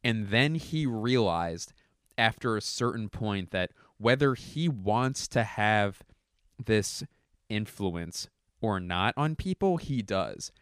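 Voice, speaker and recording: Low-voiced hoarse comedian, throat-clearing. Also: male voice, animated, slow pace, a bit bright, no echo, clean studio sound. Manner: rambling, self-correcting, profane. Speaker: stand-up comedian. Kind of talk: casual talking-to-camera commentary. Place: at home.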